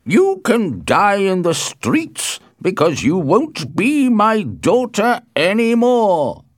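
A performer voicing an angry, tyrannical father in a storybook reading, shouting in a forceful, drawn-out way. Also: mid-range voice, huge pitch swings, dry recording, clean studio sound, voice pushed up, exaggerated voice. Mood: furious. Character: angry, tyrannical father threatening his daughter.

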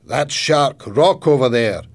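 Guy doing a kindly old grandpa for a storybook, proud, bit sad, emphatic n deliberate, pitch moves around a lot. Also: animated. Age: older.

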